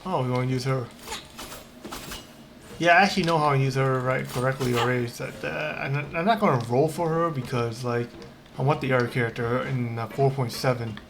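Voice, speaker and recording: A man giving casual, halting let's-play commentary, thinking aloud as he plays. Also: medium pitch, fairly bright tone. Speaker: game streamer. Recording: noisy mic, game audio behind.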